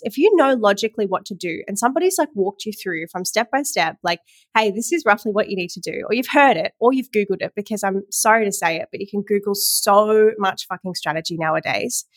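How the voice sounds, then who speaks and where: A woman's voice: medium pitch, brisk, no room sound. A young woman, a women's empowerment coach, hosts her own podcast in a casual, earnest solo chat, sounding slightly nasal. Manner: chatty, earnest, loose with fillers and restarts. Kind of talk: casual solo podcast talk, streamed live.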